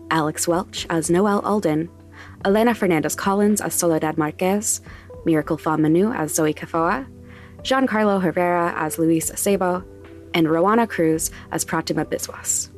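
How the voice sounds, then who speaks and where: A woman reading scripted credits and announcements as the creator of a podcast. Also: on the low side. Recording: music underneath.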